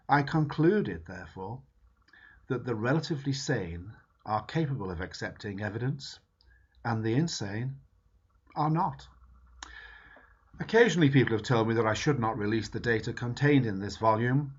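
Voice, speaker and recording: A man reading a book foreword aloud in a measured, emphatic way. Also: medium pitch, breathy edge. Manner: measured, emphatic reading with lively rises and falls. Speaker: reader. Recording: dull lo-fi recording.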